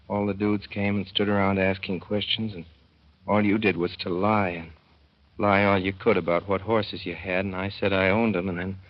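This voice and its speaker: A man's voice: on the low side, lightly acted. A man playing a naive young racetrack fellow. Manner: folksy, plain-spoken. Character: naive young man telling his own story. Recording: muffled 1930s radio broadcast.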